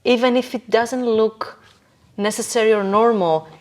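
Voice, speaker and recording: A woman's voice: fairly high pitch, measured pace, darkish tone. A woman being interviewed as a podcast guest, talking conversationally and emphatically, repeating phrases for stress. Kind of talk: conversational interview, sharing views on life.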